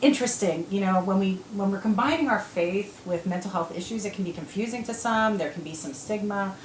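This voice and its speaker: A woman's voice: medium pitch, fairly quick. A woman hosting a panel discussion as clinical director, friendly and affirming. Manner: friendly, affirming, with many backchannels. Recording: echoey room, faint noise.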